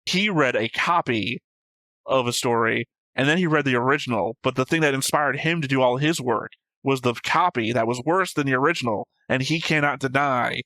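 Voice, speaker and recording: A man with a medium-pitched voice talks casually as co-host of a reaction show. He rambles and jokes his way through tangents. The sound is close and dry. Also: sharp tone, dry recording, low-quality recording. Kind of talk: casual discussion and commentary.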